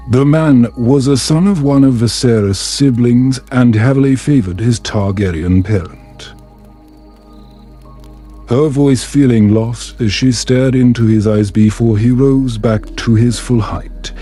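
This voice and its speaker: Male storyteller, soothing, mellow tone, unhurried pace, measured bedtime fantasy narration. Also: medium pitch. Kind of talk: calm scripted fantasy audiobook narration for sleep.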